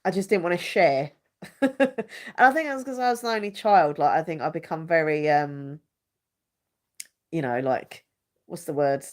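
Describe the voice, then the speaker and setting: A woman's voice: low voice, moderate pace, pauses often. A young woman with a blocked-up nose, chatting casually and rambling on a live stream. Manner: chatty and rambling, searches for words, many fillers. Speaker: YouTuber hosting a live chat.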